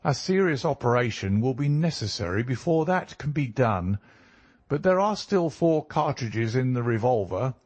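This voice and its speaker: Man as an earnest, high-voiced country doctor. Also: sing-song, normal speed, darkish tone. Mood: matter-of-fact. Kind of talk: audiobook character dialogue.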